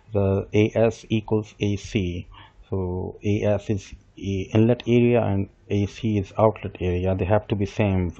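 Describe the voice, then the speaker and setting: A middle-aged man giving a screen-recorded software tutorial, explaining each step as he works and often restarting his sentences. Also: fairly low pitch. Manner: explains step by step while working, with small restarts and self-corrections. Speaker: software instructor. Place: desk with computer, voice-over on screen capture. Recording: dull lo-fi voice recording.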